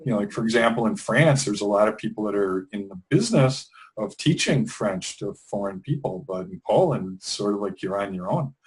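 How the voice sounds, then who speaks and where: Relaxed low-voiced man. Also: a little fast, rough recording. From Wisconsin, USA. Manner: relaxed, rambling, with frequent restarts and fillers. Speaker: interview guest, English teacher trainer. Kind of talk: conversational interview.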